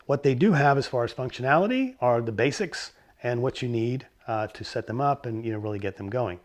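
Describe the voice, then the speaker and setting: A middle-aged man with a hoarse voice from a cold, hosting a casual explainer about vintage stereo gear and rambling a bit with fillers and self-corrections. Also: mid-range voice, expressive, brisk. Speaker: host of a vintage stereo channel.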